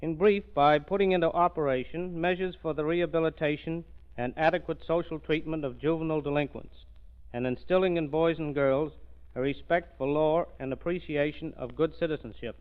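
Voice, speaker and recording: A man speaks formally as an official guest on 1930s radio. His voice is clean, resonant and a little high, with a declamatory scripted delivery. The recording is dull with age. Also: fairly quick, clean tone. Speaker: police commissioner, guest speaker.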